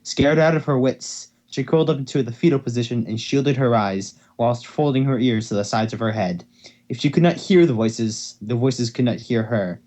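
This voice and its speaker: A man's voice: medium pitch, normal speed, a little mellow. A man hosting an amateur reading of fan fiction, informal and sometimes stumbling over his words. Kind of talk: amateur dramatic story reading. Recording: dull lo-fi home microphone.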